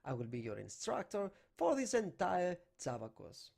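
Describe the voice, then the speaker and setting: Young greek guy doing a java screen tutorial, bouncy kinda gravelly voice. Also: high-pitched, huge pitch swings, moderate pace, darkish tone. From Greece. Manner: non-native English phrasing, talking through on-screen steps. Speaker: programming instructor. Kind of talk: screen-recorded coding tutorial. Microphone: desk microphone.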